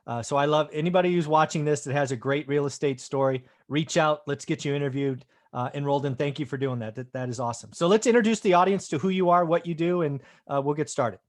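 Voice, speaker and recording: A man's voice: a little high, fast talker, few pauses. A man hosting an interview show, upbeat and enthusiastic with his guest. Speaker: host.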